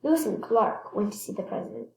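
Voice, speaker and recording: A woman reading a children's picture book aloud expressively, stressing words and pausing to point things out. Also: mid-range voice, wildly swooping pitch, a little mellow, reverberant. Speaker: read-aloud storyteller.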